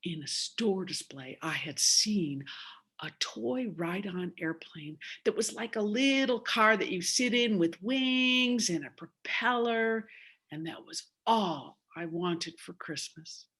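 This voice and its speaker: Slow-paced woman in her sixties telling a story. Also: medium pitch, animated, a bit bright, husky. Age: 60s. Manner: dramatic, deliberate delivery with drawn-out emphasis. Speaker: storyteller at a speaking club. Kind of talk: theatrical oral storytelling.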